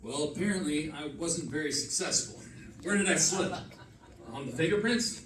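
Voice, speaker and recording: Guy playing smooth talking amused detective in a radio play, wry banter, echoey room, far from mic. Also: fairly high pitch, lively intonation, moderate pace, crowd noise, natural voice. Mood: flippant. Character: suave, flippant male amateur sleuth, amused and unflappable. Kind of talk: live old-time radio drama acting. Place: live stage performance, mic at a distance.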